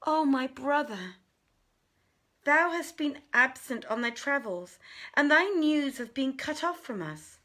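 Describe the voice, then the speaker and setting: A woman's voice: sits high, lively intonation, slow pace, sharp tone, pitched above the performer's own voice, full character voice. A woman voicing a lovesick, proud young princess from an old tale, in plaintive, formal, archaic speech. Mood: plaintive, emphatic.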